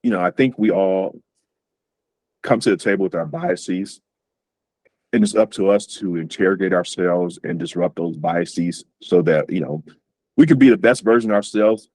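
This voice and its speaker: A man's voice: low voice, moderate pace, darkish tone, no echo. A man hosting an interview over a video call, speaking casually with many fillers and repeated words. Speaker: host.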